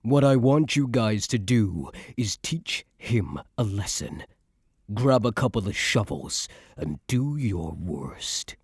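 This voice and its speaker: A man playing a cocky young mafia heir who is a doting but menacing boyfriend, in an intimate ASMR roleplay with slow, smug tough-guy slang. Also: fairly low pitch, expressive, breathy edge, no room sound, studio quality, full character voice. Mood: cold, commanding.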